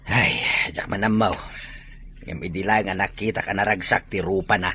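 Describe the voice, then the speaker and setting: A man's voice: low voice, steady tone, normal speed, bright, forceful tone, slight background noise. A man playing a curious middle-aged father of a married son in an audio comedy drama. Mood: musing.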